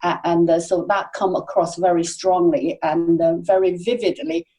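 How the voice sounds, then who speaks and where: Female podcast guest; mellow voice, thoughtful and halting delivery. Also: on the low side, steady tone. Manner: halting, many fillers and restarts. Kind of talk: conversational interview answers.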